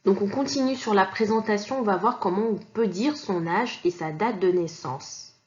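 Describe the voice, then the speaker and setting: A woman's voice: medium pitch, moderate pace, darkish tone, highs cut off. A woman from Kinshasa teaching an online language lesson, explaining patiently with many fillers and restarts. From Kinshasa, DR Congo.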